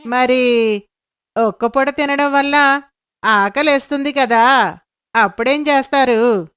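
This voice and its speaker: A woman voicing a dutiful village wife in a moral story, respectfully and gently scolding her husband. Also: sits high, slow pace, clean tone, dry recording, studio quality. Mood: concerned. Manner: respectful, reproving.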